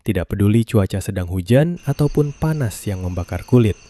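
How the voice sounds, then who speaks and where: A man narrating a scripted story in a calm, measured way. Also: lowish voice.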